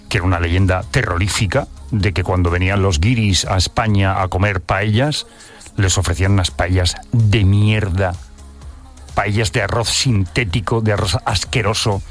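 A middle-aged man hosts a casual radio chat. His voice sits a little low, and he talks bluntly and emphatically. Faint music plays behind him. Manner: blunt and emphatic, swears freely. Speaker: radio host.

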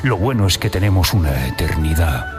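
A man's voice: sits low, expressive, fairly quick, over music. A man from Spain hosting a radio show, enthusiastic and teasing with his guest. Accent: Spain Spanish. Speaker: radio host. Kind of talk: radio show banter and recited love poem. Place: radio studio.

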